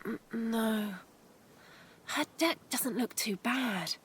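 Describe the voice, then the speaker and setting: A woman's voice: on the high side, drawn-out words, fairly bright tone, soft background music. A woman playing a wary, firm mother in a naturalistic audio drama, with a terse, guarded manner. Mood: wary, tense. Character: wary, firm mother keeping watch aboard a boat.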